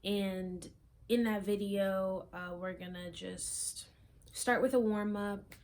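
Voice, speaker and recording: A young woman from South Florida chatting casually to camera as a fitness vlogger, rambling with frequent ums and soft trailing asides. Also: medium pitch, fairly even intonation, slow. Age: young adult. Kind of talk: casual chat and workout instruction. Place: small room, plain wall, indoors.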